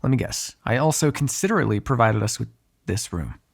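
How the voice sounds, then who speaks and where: A man playing an ageless, cynical male vampire in an audio roleplay, with a gravelly, husky voice and a sardonic, exasperated manner. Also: mid-range voice, lively intonation, fast talker. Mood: exasperated, dry. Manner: sardonic, drawling, swearing, exasperated asides. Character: ageless male vampire, cynical, menacing, grudgingly fond captive. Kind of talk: ASMR roleplay, one-sided dramatic dialogue.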